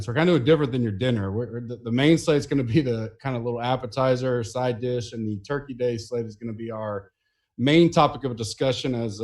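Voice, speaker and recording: A man's voice: medium pitch, a little mellow. A man hosting a casual sports betting podcast, talking loosely with lots of fillers and restarts. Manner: loose, rambling delivery with frequent fillers. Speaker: podcast host.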